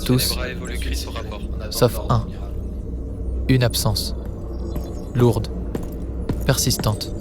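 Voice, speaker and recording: A man narrates dark audio drama with a solemn delivery and little melodic movement. His phrases are short and heavy with suspense, and he speaks in a studio over a clear music bed. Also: medium pitch, flat delivery, drawn-out words, long pauses.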